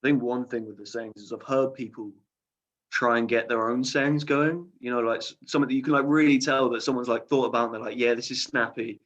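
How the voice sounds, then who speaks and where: A man's voice: medium pitch, fairly even intonation, fairly quick, fairly bright tone, dull audio. A young man speaking as a podcast guest, hesitant and rambling with lots of fillers. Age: young adult. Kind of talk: casual interview.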